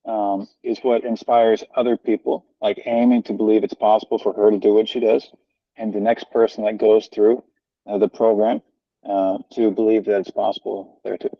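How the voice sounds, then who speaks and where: A low-voiced American man talks on a video call, earnest and rambling with plenty of ums. The audio is dull and lo-fi, with a dry, close sound and no room echo. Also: flat delivery, moderate pace. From United States. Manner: rambling, filler-heavy, earnest. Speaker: guest, founder of a social organization. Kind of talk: casual conversational interview. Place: home, family moving about behind him.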